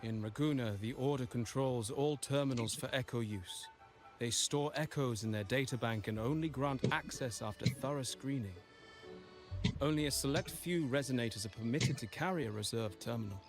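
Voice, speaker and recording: A man's voice: mid-range voice, normal speed, natural voice. An actor playing a polite, earnest businessman of a wealthy merchant family in a video game, speaking formally and explaining carefully. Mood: apologetic, formal. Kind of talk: scripted video game dialogue. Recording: game music behind the voice. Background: video game music.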